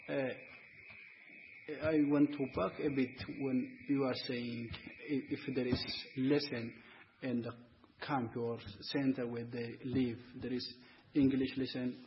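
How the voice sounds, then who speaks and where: A man's voice: medium pitch, a little hiss or hum. Man, searching for words. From Ethiopia. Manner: searching for words, broken phrasing with many fillers. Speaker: student and panel speaker. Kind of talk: unscripted discussion. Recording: dull lo-fi recording, faint ambience.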